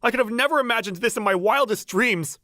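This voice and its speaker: A woman's voice: on the high side, fast. A woman voicing a flustered, lovestruck young working man who gushes and stammers in a manga dub. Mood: awed, narrating. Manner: excitable, stammering, gushing. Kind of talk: manga dub voice acting with narration. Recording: music under the voice.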